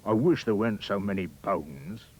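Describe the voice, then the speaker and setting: A man voicing a huge, grumpy man-eating giant in a comic verse story, with a deep, booming voice. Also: drawn-out words, vocal fry, a bit bright, exaggerated voice.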